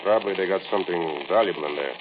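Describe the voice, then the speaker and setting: A man's voice: sits low, very fast, background noise, lightly acted. An actor playing a wary working man, colloquial and blunt, in old-time radio drama style on a tinny recording. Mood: casual.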